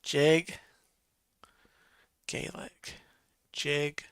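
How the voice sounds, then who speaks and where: A man's voice: fairly high pitch, monotone, drawn-out words, clean tone, no echo, studio quality. A man hosting a casual live stream, reading viewer comments and counting votes aloud while muttering to himself.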